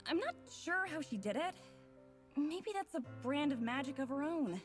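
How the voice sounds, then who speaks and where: A woman's voice: high-pitched, lively intonation, moderate pace. An actress playing a reflective, wry young woman who narrates her own story in a visual novel. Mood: wry, amused. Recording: music playing clearly underneath.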